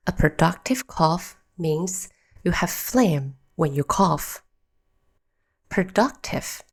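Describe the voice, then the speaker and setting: A woman's voice: on the low side, animated, slow pace, husky. A woman hosting a short English lesson, spelling words out letter by letter and repeating each phrase for practice. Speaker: English teacher, channel host. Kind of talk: slow scripted language lesson.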